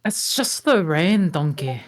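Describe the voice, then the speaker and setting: Woman voicing a gruff male ogre, very low voice, slow drawl, stubborn. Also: expressive. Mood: weary. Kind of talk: table read.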